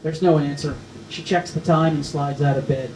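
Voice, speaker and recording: A man's voice: on the high side, fairly even intonation, moderate pace, darkish tone. Man narrating, roomy and distant. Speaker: stage directions reader. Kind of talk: scripted narration. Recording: roomy, distant mic, faint noise.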